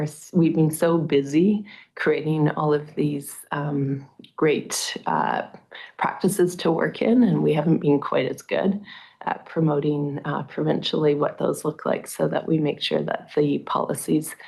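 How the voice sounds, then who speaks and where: Low creaky-voiced woman presenting to council. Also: steady tone, measured pace, darkish tone, poor audio. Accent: Canadian. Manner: hesitant, many ums. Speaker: health organization presenter. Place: council chamber.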